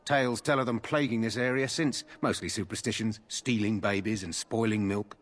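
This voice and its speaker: A man's voice: fairly low pitch, steady tone, moderate pace, lightly acted. A man playing a dutiful but gossipy army guard in a fantasy game, telling lore matter-of-factly. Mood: chatty, foreboding.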